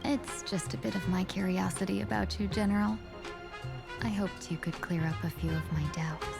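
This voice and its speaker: A woman's voice: mid-range voice, fairly even intonation, moderate pace, vocal fry, lightly acted. A woman voicing a composed, weary young fox-woman envoy in a video game, speaking formally and with theatrical measure. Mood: composed, probing. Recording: music behind the voice.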